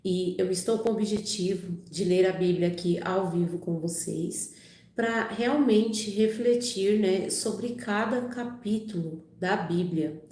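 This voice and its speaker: Woman host with a cold-rough voice. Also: mid-range voice, slow pace, mellow, echoey room. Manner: chatty, frequent "né" and "tá bom" tags. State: recovering from a cold. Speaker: channel host reading the Bible live. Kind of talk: casual livestream talk and Bible reading aloud.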